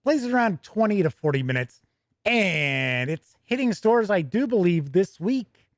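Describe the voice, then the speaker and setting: A man's voice: high voice, big pitch swings, unhurried, dry recording, studio quality. A man hosting a tabletop gaming livestream, talking in a chatty, conversational way with casual asides and self-corrections. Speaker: host of a tabletop gaming news show. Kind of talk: casual livestream chat and news reading.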